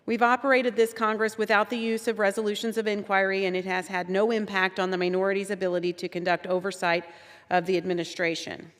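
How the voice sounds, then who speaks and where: Woman, mid-pitched voice, measured prepared remarks, reverberant legislative chamber. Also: little pitch movement, a bit bright. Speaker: congresswoman in floor debate. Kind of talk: House floor speech. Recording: long hall reverb.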